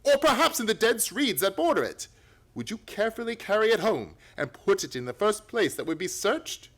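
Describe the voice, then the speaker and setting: A man performs a self-assured gentleman detective in a radio play. The voice is fairly high and very animated. He reasons aloud with courteous but emphatic, theatrical delivery. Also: normal speed, full character voice. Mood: emphatic, incredulous. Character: confident, analytical gentleman detective, incisive and courteous.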